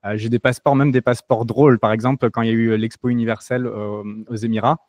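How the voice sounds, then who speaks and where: A man's voice: medium pitch, quick pace, no echo. French man, casual and dry-sounding. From France. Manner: relaxed, many fillers and restarts. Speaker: interview guest. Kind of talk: casual conversational interview.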